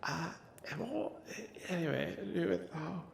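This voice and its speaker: A man's voice: sits high, sing-song, measured pace, creaky, full character voice. A man playing a befuddled old wizard who mumbles and rambles through tall tales in a comic ASMR roleplay, trailing off into muttered asides. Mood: befuddled reminiscing. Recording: roomy echo, faint background noise and ambience.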